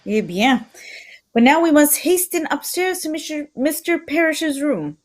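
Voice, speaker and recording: A woman's voice: high-pitched, very animated, voice pushed up. Woman playing a polite French-accented Belgian detective, melodic. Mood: measured. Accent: put-on Belgian French. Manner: formal, deliberate, sprinkles French words. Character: polite, shrewd Belgian male detective, unhurried and observant. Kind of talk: old-time radio mystery acting.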